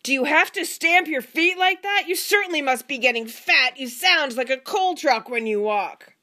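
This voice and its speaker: A woman's voice: high voice, animated, normal speed, pitched above the performer's own voice. A woman voicing an irritable, exclamatory husband in a children's storybook. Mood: irritated. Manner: loud, exclamatory shouting. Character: irritable husband tormented by suddenly magnified hearing.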